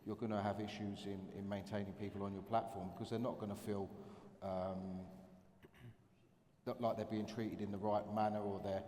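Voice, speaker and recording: A man speaking on a conference panel, halting and repeating words as he builds his point. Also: fairly low pitch, flat delivery, dark tone. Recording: echoey conference hall with faint noise.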